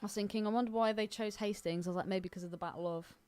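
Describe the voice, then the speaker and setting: A woman's voice: mid-range voice, fairly even intonation, fast talker, a little mellow, clean tone. A woman co-hosting a film podcast, chatting casually in a blunt, teasing way. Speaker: podcast co-host.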